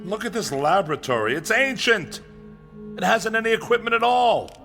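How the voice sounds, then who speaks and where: An actor playing a pompous, irritable scientist, haughty and complaining in a theatrical vintage comedy style. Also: male voice, high-pitched, expressive, normal speed, creaky, a bit bright, soft background music, exaggerated voice. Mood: grumpy, disgusted. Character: pompous, irritable male scientist, perpetually dissatisfied.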